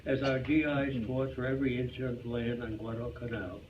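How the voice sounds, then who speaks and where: A man's voice: medium pitch, level tone, moderate pace. A man giving a scripted humorous reading of a narrated story in live performance. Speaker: reader at a reader's theater club. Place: club hall, live performance. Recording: muffled, noisy, distant live hall recording.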